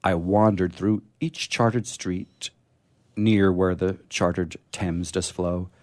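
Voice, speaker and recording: A man hosting a poetry program, speaking in a halting, expressive way as he talks casually and reads verse. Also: low-pitched. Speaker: radio show host reading poetry. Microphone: studio microphone he drifts away from.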